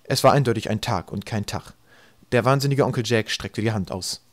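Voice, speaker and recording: Quick-paced male audiobook narrator. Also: on the low side, no echo. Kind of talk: scripted comic children's story narration.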